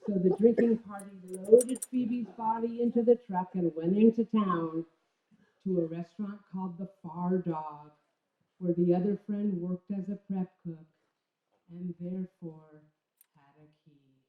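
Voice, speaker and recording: A woman reading a literary memoir on stage in an expressive, measured storytelling manner, with deliberate dramatic pauses. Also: mid-range voice. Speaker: reader of a literary memoir at a live storytelling event. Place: indoor stage venue. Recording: echoey room, dull lo-fi sound.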